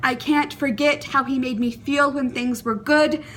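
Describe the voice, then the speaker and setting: A young adult woman performing a dramatic monologue in an earnest, deliberate, emotive way, with pauses. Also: high voice, steady tone, fairly bright tone, a little hiss or hum.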